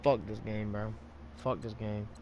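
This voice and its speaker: A man's voice: fairly low pitch, flat delivery, unhurried, crowd noise. A man streaming a video game, reacting casually in blunt, curt, profane bursts.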